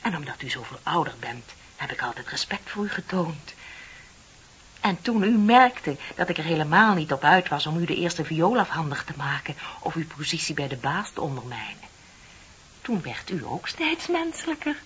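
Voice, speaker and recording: An actress playing a bitter, composed office clerk in her thirties in a radio play, speaking in a deliberate, pointed, theatrical way. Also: deep voice, sing-song, fairly bright tone. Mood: smugly composed. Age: middle-aged. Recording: dull, hissy old radio play recording.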